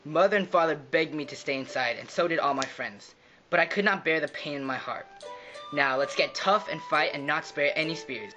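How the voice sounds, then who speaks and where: A man's voice: on the high side, moderate pace, highs cut off, music in the background, lightly acted. A man playing a loyal, rousing brother, a Trojan prince, in a mythological puppet show. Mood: earnest, rousing.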